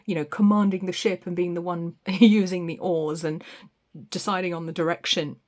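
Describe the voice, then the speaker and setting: A woman giving an intuitive tarot reading to camera, meandering and reflective, with frequent ums and soft restarts, recorded on a phone. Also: fairly low pitch, flat delivery, moderate pace. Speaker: tarot reader.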